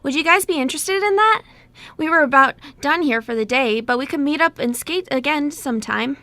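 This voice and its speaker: Bright, chatty young sister played by a woman. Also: sits high, lively intonation, brisk, exaggerated voice. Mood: eager. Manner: eager, talkative. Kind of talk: amateur radio play acting.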